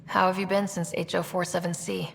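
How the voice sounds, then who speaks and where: A confident young expedition leader in a video game. Her voice sits slightly low, decisive with a wry edge, over faint noise. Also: flat delivery, brisk, gravelly. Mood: supportive.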